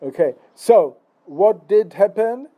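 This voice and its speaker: Czech guy in his 60s musing about philosophy, lots of uhs and "no?", a bit high-pitched. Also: lively intonation, warm tone. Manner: halting, many fillers and restarts, tag questions. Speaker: philosophical speaker. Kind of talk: rambling philosophical monologue.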